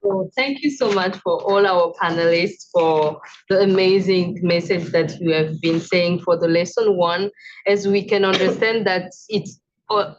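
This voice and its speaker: A woman moderating a panel, asking questions slowly and carefully, with some non-native grammar. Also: medium pitch. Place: conference side event. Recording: dull lo-fi audio.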